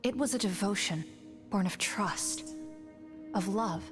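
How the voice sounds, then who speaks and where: A woman playing a solemn female warrior who brings grave news of a fallen comrade, with measured, formal delivery in a motion comic. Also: medium pitch, close to an everyday voice. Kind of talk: scripted dramatic narration in a motion comic. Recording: music under the voice. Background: music.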